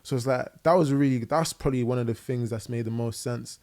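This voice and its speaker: A man's voice: medium pitch, quick pace, darkish tone. A young man with a London accent, a guest musician chatting casually in a podcast interview, full of fillers and "innit". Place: living room podcast set. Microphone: boom mic on arm.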